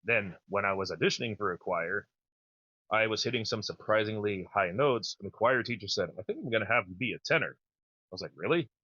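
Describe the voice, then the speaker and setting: A man in his thirties with a naturally low voice, chatting casually and enthusiastically as a podcast host. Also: expressive, a little fast, bright, forceful tone, husky, no room sound, muffled recording. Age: 30s. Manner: rambling, enthusiastic. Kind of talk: casual chat.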